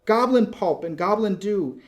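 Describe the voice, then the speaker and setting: An exultant young woman of storybook verse, acted out by a male reader. The voice is raised well up in pitch and strongly projected. Every short phrase is urgent and fervent, as if pressed on a beloved sister. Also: animated, unhurried. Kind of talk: dramatic poetry reading.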